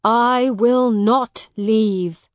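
A long-lost brother from a storybook , played by a woman reader, imploring and indignant. He speaks very slowly and emphatically, in a dry, close recording. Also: on the high side, no room sound. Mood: desperate, defiant. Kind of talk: graded audiobook character dialogue.

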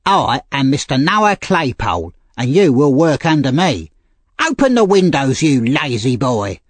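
A big, bullying boy, voiced by a man, raised high above an adult register. He is rude, bossy and full of himself, swinging in pitch like a storybook tormentor. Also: sing-song, measured pace, dry recording. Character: bullying, self-important large teenage boy. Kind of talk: audiobook character voice.

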